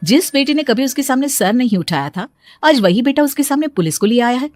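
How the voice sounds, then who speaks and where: A woman's voice: sits high, lively intonation, brisk, darkish tone, no room sound, faint music behind. A woman narrator telling a scripted moral family story.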